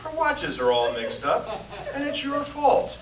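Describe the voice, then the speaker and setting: A man's voice: high voice, huge pitch swings, a little slow, exaggerated voice. A man playing a vain, fussy, flustered comedian in an old-time radio comedy on stage, hesitating and stammering as he talks. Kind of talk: 1940s radio comedy acting. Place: live stage show before an audience. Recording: echoey stage recording, distant, noisy, dull.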